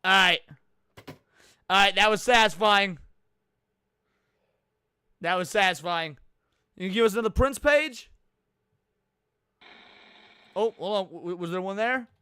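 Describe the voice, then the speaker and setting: A man giving casual, slangy live reaction commentary as a streamer, excitable and prone to swearing. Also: high voice, measured pace, long pauses, clear, resonant tone, dry recording, studio quality. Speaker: streamer reacting to horror videos.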